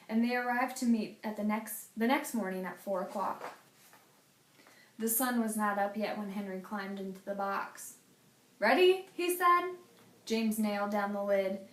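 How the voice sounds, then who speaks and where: A woman reading a children's picture book aloud as a teacher, with a deliberate, dramatic storytelling delivery. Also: mid-range voice. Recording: echoey room.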